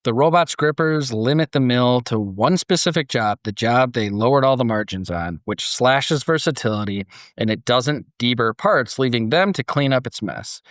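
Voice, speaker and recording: A man with a medium-pitched voice hosts a panel podcast. He sounds conversational and easygoing in style, whether chatting or reading a story aloud. The recording is close and dry. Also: animated, moderate pace, a bit bright, no room sound. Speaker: podcast host.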